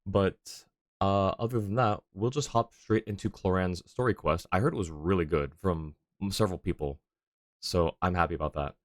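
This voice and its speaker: A man's voice: low voice, moderate pace, no echo, studio quality. A man hosting a game livestream, chatting casually with his viewers in a friendly, apologetic way. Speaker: livestream host, gamer. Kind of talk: casual game stream chat, reading chat and game dialogue aloud.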